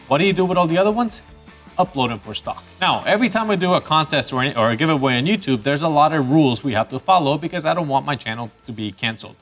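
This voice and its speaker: A man from Costa Rica hosting his photography channel in a chatty, upbeat way, with bursts of excitement. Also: on the high side, fast, faint music behind. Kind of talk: casual YouTube presenting.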